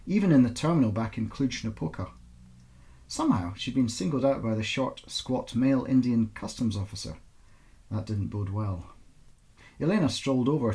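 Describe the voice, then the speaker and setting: A man speaking as an author presenting and reading aloud his own fiction in an informal, expressive storytelling style. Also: mid-range voice. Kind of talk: informal introduction, then expressive audiobook-style narration.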